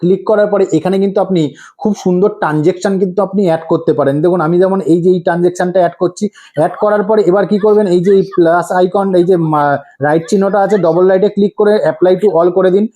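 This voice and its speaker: A man's voice: high voice, fairly even intonation, fast talker, warm tone. A man hosting a step-by-step tutorial, instructive and repetitive, often prompting with rhetorical questions. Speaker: tutorial host.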